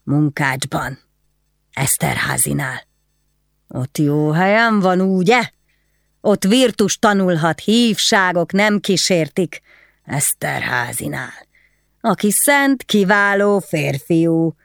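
A woman's voice: lowish voice, expressive, gravelly, no echo, studio quality. A woman voicing a drunken, mocking middle-aged nobleman who bellows and slurs his words. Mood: bitter. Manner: slurred, bellowing, sneering.

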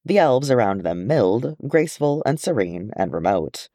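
A man reading fantasy fiction aloud as an expressive storyteller. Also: mid-range voice, moderate pace, no echo, studio quality. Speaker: amateur audiobook reader. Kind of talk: expressive audiobook narration of fantasy fan fiction.

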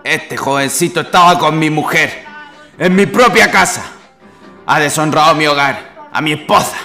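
Man acting a forceful, jealous Chilean husband. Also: fairly high pitch, moderate pace, soft background music. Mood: indignant accusing. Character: jealous husband, hulking, aggrieved, accusing. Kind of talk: radio drama acting.

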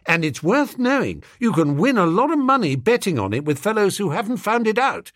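A man voicing a flustered, bumbling young gentleman who stammers and dithers, in a storybook reading. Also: sits high, big pitch swings, a little fast, no room sound, studio quality, voice pushed up. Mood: chatty.

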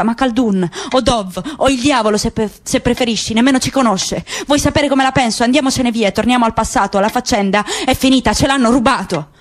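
A woman's voice: a little high, close to an everyday voice. A woman voicing a bitter, grieving middle-aged father who speaks insistently and rhetorically, for a radio drama.

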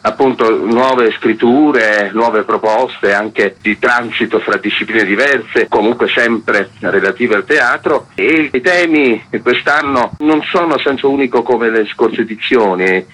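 A man's voice: medium pitch, a bit bright, low-quality recording. A man who directs a theatre festival, talking at length in a radio interview with hesitations. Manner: discursive, with filler pauses.

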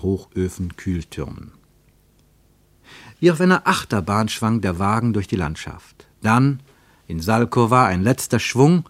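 Radio play narrator, lowish voice, dry studio sound, literary travel story. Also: male voice, no room sound.

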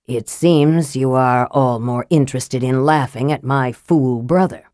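A woman voicing a frail, irritable male mage in an audiobook, with a soft, damaged voice and a cutting, condescending way of speaking. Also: very deep voice, measured pace, no room sound, studio quality, voice pushed down. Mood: scornful. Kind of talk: audiobook character voice.

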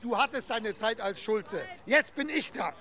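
High-pitched, hot-headed village demagogue. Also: male voice, expressive, measured pace, sharp tone, full character voice. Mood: rousing, scornful. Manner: domineering, speechifying, scornful. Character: hot-headed village rabble-rousing father, bigoted new headman. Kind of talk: radio drama acting.

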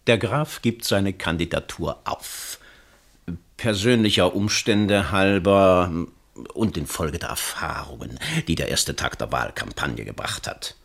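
A man's voice: deep voice, animated, unhurried, creaky, a bit bright, heavily acted. A man playing a scheming political go-between in a German radio play, florid and unctuous in his rhetoric. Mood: unctuous, persuasive. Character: oily, scheming male political go-between flattering his mark.

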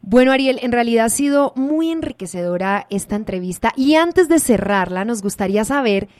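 A woman's voice: a little high, expressive, brisk, a little mellow, dry recording, clean studio sound. A woman hosting a radio interview, asking formal, polite questions. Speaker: radio host.

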